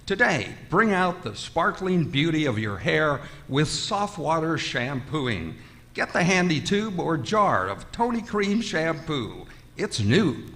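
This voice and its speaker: A man's voice: on the high side, very animated, slow pace, a bit bright. A man reading an old-time radio commercial as an announcer. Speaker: advertisement announcer.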